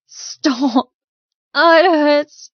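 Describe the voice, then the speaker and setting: A woman's voice: high voice, extremely slow, no room sound, dull audio, pitched above the performer's own voice. A woman voicing a hurt but defiant young female captive in an audiobook, pained and emotional. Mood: agonised. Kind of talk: audiobook character dialogue.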